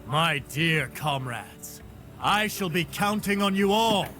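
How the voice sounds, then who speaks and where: A man's voice: on the high side, lively intonation, slow pace, pushed and bright, full character voice. An actor playing a grandiose rebel leader in a video game, a man making pompous, theatrical speeches. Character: grandiose male villain leading a band of rebels. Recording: game music under the voice.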